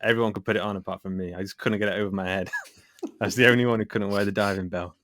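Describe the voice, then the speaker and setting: Low-voiced man, dry close sound. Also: fairly even intonation, fast talker, fairly bright tone, no room sound. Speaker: podcast guest. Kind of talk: casual jokey chat.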